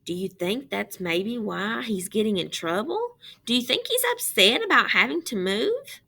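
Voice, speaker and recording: A woman reading a picture book aloud to children in an expressive, deliberate way, pausing to put questions to her listeners. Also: a little high, creaky.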